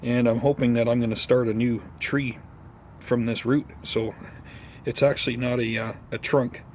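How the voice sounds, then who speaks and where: A man hosting a casual gardening vlog outdoors, talking informally to viewers in an unhurried, hesitant way. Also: mid-range voice, little pitch movement, a little mellow, slight background noise. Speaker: host of a bonsai gardening vlog.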